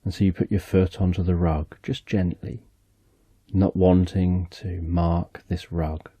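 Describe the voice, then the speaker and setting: A man narrating a slow, soothing guided relaxation story in the second person, speaking softly and gently. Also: low voice, flat delivery, breathy edge, no echo.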